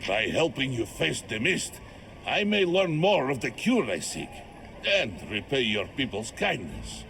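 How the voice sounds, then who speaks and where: A man's voice: mid-range voice, big pitch swings, a little slow, vocal fry, a bit bright, a little hiss or hum, heavily acted. An actor playing an earnest, big-hearted heroic man in a video game, speaking in a grand, reassuring manner. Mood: determined. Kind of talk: video game character acting.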